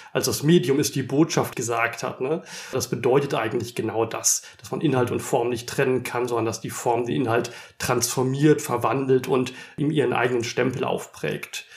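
A man hosting a podcast in a casual conversational style. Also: mid-range voice. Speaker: podcast host.

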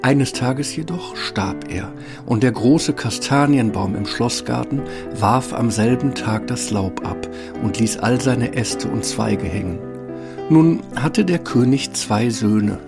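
Man narrating a fairy tale audio play, mid-pitched gravelly voice, music playing behind. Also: slow.

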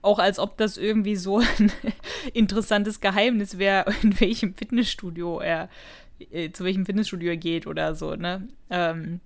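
A woman's voice: medium pitch, steady tone, moderate pace. A woman co-hosting a podcast, talking casually and musing aloud in colloquial phrases. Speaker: podcast co-host. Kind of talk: casual chat reviewing an audio drama.

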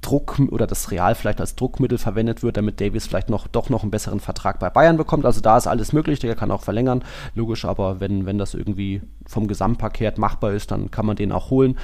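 A man's voice: fairly low pitch, fast talker, a little mellow. A man co-hosting a casual football podcast, rambling through his analysis with frequent fillers and restarts. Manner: rambling, self-correcting analysis with many fillers. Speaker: podcast co-host.

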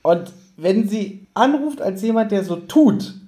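A man co-hosts a podcast in casual conversation. His voice sits a little high, with a dark, mellow tone that keeps it relaxed as he discusses an audio drama. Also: measured pace. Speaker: podcast co-host.

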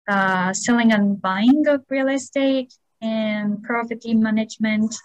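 A woman with a Japanese accent answering casually in an interview, in careful, halting second-language English with polite phrasing. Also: medium pitch, darkish tone, poor audio. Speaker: real estate agent, interview guest. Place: on a video call.

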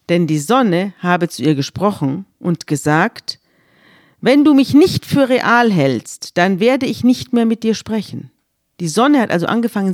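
A woman's voice: mid-range voice, a little mellow, no echo, studio quality. A woman talking thoughtfully about a Bible story, recounting an anecdote and reflecting on it in a conversational discussion. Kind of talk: conversational religious podcast discussion.

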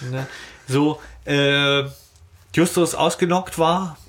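A man's voice: fairly high pitch, fairly even intonation, takes it slowly, a bit bright, a little hiss or hum. A man hosting a podcast in casual chat, thinking aloud as he goes in a discursive way. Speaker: podcast host.

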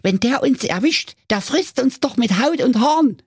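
A man voicing cheeky, squabbling little goat kids, squeaky and agitated, in a comic fairy tale. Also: animated, normal speed, a little mellow, breathy edge, no room sound, studio quality, full character voice. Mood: frightened. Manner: squeaky, agitated, foul-mouthed. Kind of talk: comedic fairy-tale storytelling.

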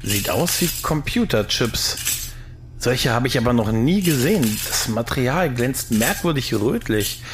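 A man playing a curious, wary adventurer in a German science-fiction audio drama, observant and deliberate in his delivery. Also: medium pitch, animated, lightly acted. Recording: faint background noise.

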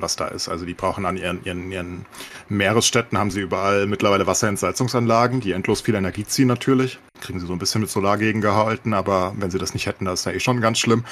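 A man with a lowish, rather husky voice chats casually as a podcast host. He speaks quickly and colloquially, leaning on sardonic understatement. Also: fairly bright tone.

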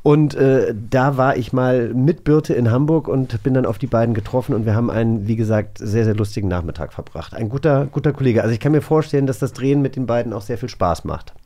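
A man's voice: mid-range voice, quick pace, hardly any pauses, warm tone. A man hosting a casual podcast interview, chatty and rambling in his questions.

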